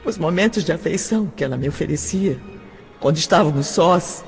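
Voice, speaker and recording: A woman with a Brazilian accent playing a lonely, wounded but polite adopted girl of seventeen in an audio drama, speaking in a measured way. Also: sits low, vocal fry, slightly rough, exaggerated voice. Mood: sad, reflective. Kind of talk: audio drama acting, first-person recollection and dialogue. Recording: long hall reverb, music behind.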